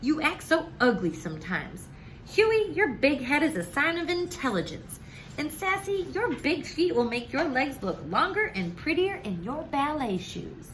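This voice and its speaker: Firm, loving storybook mother read by a woman, bright voice with lively swings, some background noise. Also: sits high, measured pace, pitched above the performer's own voice, heavily acted, poor audio. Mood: scolding, then reassuring. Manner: firm, emphatic. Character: scolding yet loving mother of squabbling children. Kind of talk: children's storybook read-aloud.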